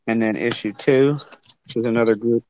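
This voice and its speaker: A man in casual chat about comic artists, excited and swearing freely. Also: mid-range voice, normal speed, slight background noise. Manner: excited, profane. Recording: dull lo-fi sound.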